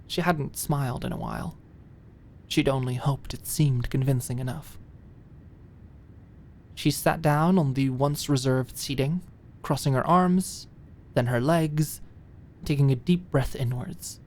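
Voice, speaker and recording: A woman's voice: extremely low pitch, measured pace, pauses often, darkish tone, slight background noise. A woman reading dramatic scripted narration as an audiobook narrator.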